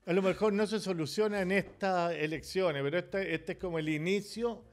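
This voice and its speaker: Chilean man in his 70s, slightly high voice, rambling slangy host, boom mic. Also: normal speed. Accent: Chilean Spanish. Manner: loose, rambling, slangy banter. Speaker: podcast host. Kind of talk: casual podcast conversation. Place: indoor home studio. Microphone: boom mic at mouth.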